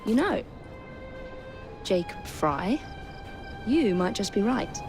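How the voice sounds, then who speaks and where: A young English woman voices a composed Victorian assassin. Her mid-pitched delivery is dry and measured, set over clear game music. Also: big pitch swings. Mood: determined, wry. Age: 20s. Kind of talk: video game cutscene acting.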